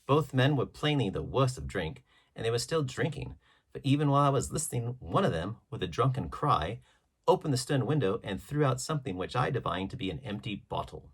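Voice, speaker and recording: A man's voice: mid-range voice, very animated, a little fast, breathy edge. A man reading a classic adventure story aloud as scripted literary narration. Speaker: audiobook reader.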